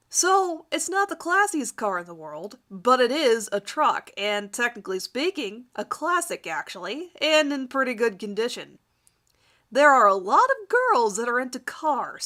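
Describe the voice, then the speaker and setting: Woman playing a smug teenage charmer, lively and bright. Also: fairly high pitch. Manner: self-assured, formal wording. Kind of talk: dramatic reading of first-person fan fiction.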